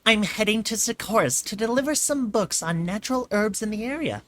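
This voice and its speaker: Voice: mid-range voice, lively intonation, voice pushed up, lightly acted. A reader voicing a sensible young female unicorn who speaks politely but firmly. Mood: stern. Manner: polite, then stern and hurried.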